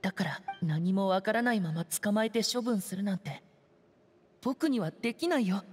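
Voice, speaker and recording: A woman playing a timid, earnest, soft-spoken teenage boy in an anime-style game, hesitant and subdued, with music behind. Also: mid-range voice, lively intonation, normal speed, slightly rough. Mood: earnest, resolute. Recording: studio voice over game music. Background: background music.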